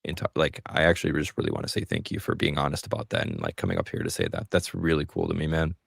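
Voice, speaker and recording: A man with a very low, gravelly voice hosts a live call-in stream. He talks casually, joking and poking fun at himself. The sound is dry and close. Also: big pitch swings, quick pace, no echo. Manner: chatty, self-deprecating, joking. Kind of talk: casual chat.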